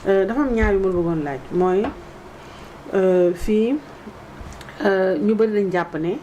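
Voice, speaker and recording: A woman with a Senegalese accent hosting a conversational political interview, somewhat halting as she frames her points. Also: medium pitch, mellow, muffled recording, a little hiss or hum.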